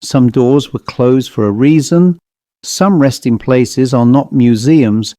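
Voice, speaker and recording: Male narrator for supernatural tales: warm, relaxed tone, deliberate scripted storytelling, very dry sound. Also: mid-range voice, no room sound. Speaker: narrator and host of horror story readings. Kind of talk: scripted narration of classic ghost stories.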